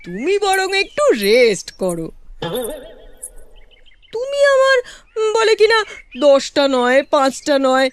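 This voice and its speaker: A woman's voice: sits high, big pitch swings, takes it slowly, long pauses, exaggerated voice. A woman voicing a doting, teasing, bossy middle-aged mother-in-law in a comic cartoon, gushing theatrically. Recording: faint music behind the voice.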